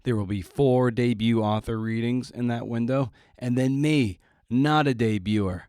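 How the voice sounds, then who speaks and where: A man's voice: mid-range voice, normal speed, a little mellow, no echo, studio quality. A man hosting a podcast solo, talking casually with wry, playful, slangy, self-deprecating asides. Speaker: podcast host. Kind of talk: casual podcast monologue with announcements.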